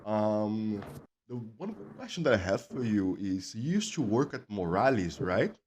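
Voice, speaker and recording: A man's voice: fairly low pitch, sing-song, unhurried, heavy background noise. Man asking casual interview questions.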